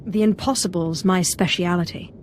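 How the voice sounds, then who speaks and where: An actress playing a bold young dark-side apprentice in a video game, wry and confident. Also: female voice, lowish voice, fairly even intonation, moderate pace, a little hiss or hum, natural voice. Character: bold, cocky young female Sith apprentice. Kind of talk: video game dramatic acting.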